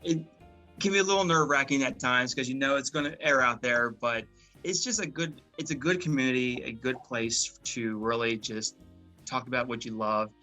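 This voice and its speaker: Bright-voiced man, casual and rambling. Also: mid-range voice, moderate pace, soft background music. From Maryland, USA. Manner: friendly, rambling with many fillers and restarts. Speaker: guest. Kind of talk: casual interview chat.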